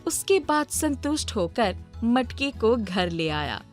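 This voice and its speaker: A woman's voice: on the high side, sing-song, takes it slowly, soft background music. A woman narrating a scripted storybook tale.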